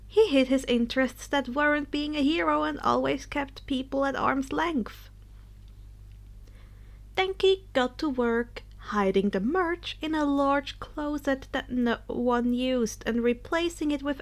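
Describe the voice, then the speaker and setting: A woman's voice: high-pitched, fairly bright tone. A woman narrating fan fiction in a dramatic storytelling style.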